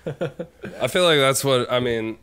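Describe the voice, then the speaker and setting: A man's voice: mid-range voice, lively intonation, slow, a bit bright. A man talking as a guest musician in a casual podcast chat, rambling and full of fillers.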